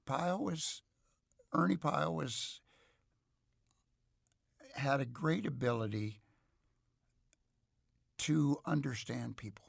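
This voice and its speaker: A middle-aged or older man speaking as an expert interview guest, reflective and measured, with halting, scholarly phrasing. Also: medium pitch, gravelly, husky, no room sound, studio quality.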